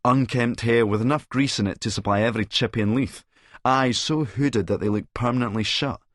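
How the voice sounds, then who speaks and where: A man's voice: medium pitch, a little fast, a bit bright, no room sound, clean studio sound. A man with a Scottish accent narrates an audiobook dramatically in the first person, in a sardonic, sneering manner.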